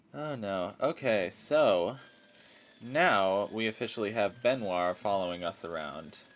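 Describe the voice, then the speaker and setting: A man's voice: fairly low pitch, takes it slowly, long pauses. A man in his twenties giving casual, rambling commentary over a video game, with a self-deprecating manner. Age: 20s. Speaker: let's play host.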